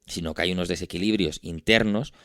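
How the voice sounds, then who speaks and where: A man with a low, smooth voice and a Castilian Spanish accent talks as a podcast host. His delivery is conversational and explanatory, dotted with tag questions. The sound is dry and close. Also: brisk, a bit bright, no echo. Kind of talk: conversational discussion.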